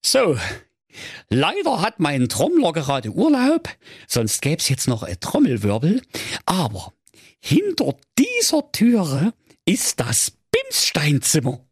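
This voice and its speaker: A man's voice: fairly high pitch, huge pitch swings, slow, husky, no echo, studio quality, pitched above the performer's own voice, exaggerated voice. A man voicing an eager, bumbling young count who talks overly formally. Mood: showy.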